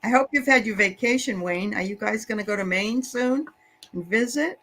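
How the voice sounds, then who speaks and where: An encouraging, chatty host gives card readings in a relaxed conversation. The voice sits at a middle pitch and keeps an easy, friendly flow. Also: normal speed, slight background noise. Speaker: host of a psychic show. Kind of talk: casual psychic reading chat.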